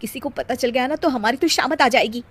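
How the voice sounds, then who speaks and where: A woman voicing a sensible young married elder sister in a cartoon moral story, earnest and gently chiding. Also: high-pitched, fairly quick, slightly rough, pitched above the performer's own voice. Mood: worried.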